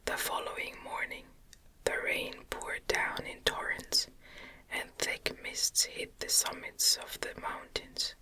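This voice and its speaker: A woman whispering a novel as an ASMR audiobook narrator, gentle and deliberate in her phrasing, close to the microphone. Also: low-quality recording.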